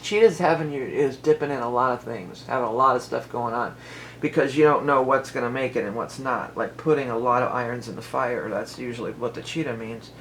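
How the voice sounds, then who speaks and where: A woman with a lowered voice, doing a chatty, rambling tarot reading for her vlog, full of fillers and restarts. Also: brisk, slight background noise, poor audio. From Cleveland, Ohio. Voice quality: lower voice than she once had. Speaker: tarot reader and musician.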